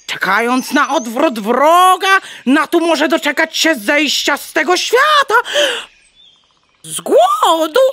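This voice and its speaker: A woman's voice: high-pitched, big pitch swings, slow pace, sharp tone, no room sound, exaggerated voice. A woman playing a bold, clever, bossy mother hen in a children's audio play, clucking between theatrical phrases. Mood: urgent.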